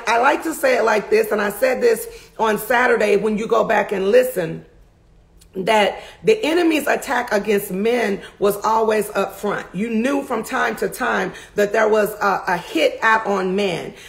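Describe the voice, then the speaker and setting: A middle-aged woman preacher with a raspy voice, recapping a sermon to camera with fervent emphasis from a home study. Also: medium pitch. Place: home study with bookshelves, indoors.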